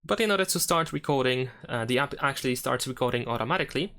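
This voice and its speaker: A man's voice: medium pitch, animated, fast, pushed and bright. A man hosting a tech tutorial, explaining steps in a casual, easygoing way as he goes along. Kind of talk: casual step-by-step tutorial narration.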